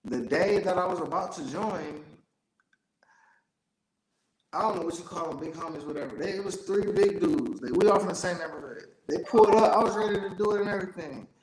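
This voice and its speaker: Male, American, notably high voice, rambling and emphatic interviewee in casual chat, roomy echo. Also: fast, few pauses. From Youngstown, Ohio, United States. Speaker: interview guest. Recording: roomy, echoey sound.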